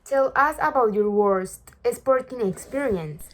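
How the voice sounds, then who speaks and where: Woman, higher voice, host, scripted intros and questions, read aloud. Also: a little slow, rough recording. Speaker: interview host.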